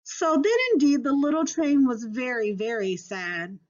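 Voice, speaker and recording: A woman teacher reading a children's storybook aloud expressively, pausing to address her young listeners. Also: on the high side, a little mellow, muffled recording.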